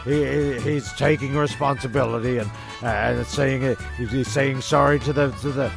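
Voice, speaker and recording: A man's voice: mid-range voice, fairly even intonation, normal speed, creaky. A man hosting and narrating a comedy radio play in sweary banter, often stumbling and repeating his words. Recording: music behind the voice.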